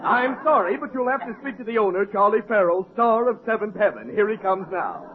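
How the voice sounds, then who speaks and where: Casting: snippy club clerk, animated comic retorts, crackly narrow-band vintage radio. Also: male voice, high-pitched, fairly quick. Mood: sassy. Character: snippy, wisecracking male club desk clerk. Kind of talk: 1940s radio comedy sketch acting. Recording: very noisy, band-limited old radio.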